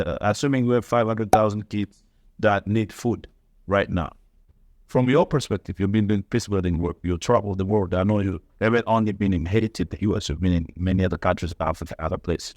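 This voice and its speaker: A man's voice: on the low side, no echo, studio quality. A man hosting an interview show, asking questions in a friendly, upbeat way and laughing often. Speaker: host.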